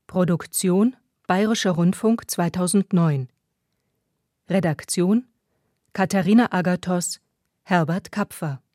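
A woman announcer reading scripted radio credits in a measured, list-like way. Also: fairly low pitch, warm tone, no room sound. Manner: measured, list-like delivery of names. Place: dry radio studio.

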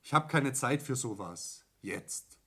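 A man's voice: mid-range voice, expressive, slow, sharp tone, breathy edge, exaggerated voice. Curt, domineering businessman son. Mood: curt, cold. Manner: curt, commanding. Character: domineering, businesslike eldest son of a builder's family. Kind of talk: stage-like radio drama acting in dialect.

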